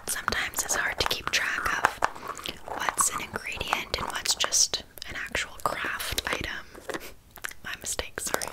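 A woman playing a scatterbrained, bluntly judgmental witch in an ASMR roleplay, rambling casually in a deadpan way. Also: natural voice, rough recording. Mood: flustered, apologetic. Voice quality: soft breathy whisper. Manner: rambling, deadpan, casual asides with filler words. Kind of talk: whispered ASMR roleplay, improvised one-sided chat.

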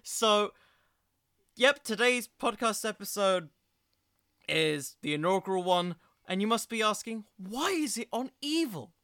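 A man's voice: high voice, expressive, unhurried, pushed and bright, clean tone, no echo, clean studio sound. A young man hosting an informal solo podcast, rambling along in a jokey, self-deprecating way. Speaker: podcast host.

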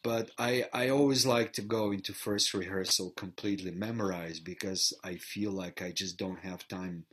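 A man's voice: sits low, fairly even intonation, normal speed, few pauses, fairly bright tone, slightly rough. A middle-aged man from Bulgaria, an actor and theater teacher giving a reflective interview, often repeating words as he restarts. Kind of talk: interview conversation.

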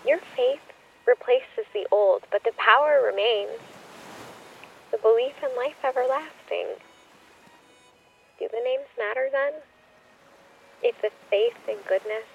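A woman's voice: on the high side, unhurried, creaky, long pauses, muffled recording, a little hiss or hum. An actress in a radio play playing an ancient foremother's spirit, speaking in a solemn, incantatory, reassuring way. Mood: solemn, exhorting. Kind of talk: poetic radio play monologue. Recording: thin band-limited radio sound, music behind.

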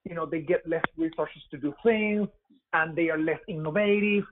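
A man's voice: fairly high pitch, moderate pace, noisy background. A Venezuelan man in his forties with a Venezuelan Spanish accent, a guest chatting casually in an interview, often repeating words and filling with "you know". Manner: repeats words, many "you know" fillers, laughs easily. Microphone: over a web call. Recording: dry, phone-like web call.

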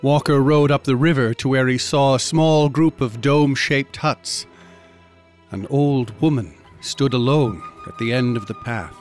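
A man's voice: medium pitch, unhurried, soft background music, close to an everyday voice. A man narrating a frontier horror tale as a scripted radio drama. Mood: measured.

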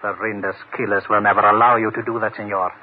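An actor as a fast, grave foreign informant. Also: male voice, lowish voice, level tone, lightly acted. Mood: ominous, urgent. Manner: formal, ominous phrasing. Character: grave, urgent male foreign informant warning of killers. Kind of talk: 1950s radio drama acting. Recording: muffled old radio broadcast, faint noise.

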